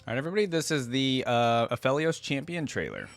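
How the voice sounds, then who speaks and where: A man giving casual reaction commentary as a video host, inquisitive and easily excited by what he watches. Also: medium pitch, fast, creaky, no echo, soft background music.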